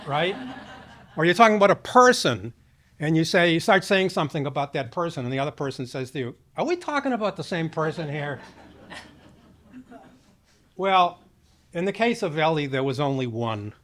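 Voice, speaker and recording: A man's voice: on the high side, a bit bright. Older man giving an informal eulogy. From Boston, United States. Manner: conversational, storytelling with rhetorical check-ins. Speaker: speaker giving a memorial tribute to his sister.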